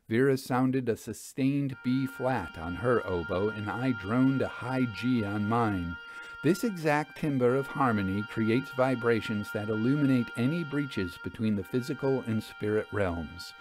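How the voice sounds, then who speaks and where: A man narrating a first-person story in a measured, formal literary manner. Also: mid-range voice, darkish tone. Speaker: storyteller reading fiction aloud.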